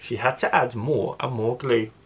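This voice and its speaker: A man who works as a primary school teacher, reading a story expressively to children with deliberate phrasing. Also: medium pitch, slightly rough, dull audio.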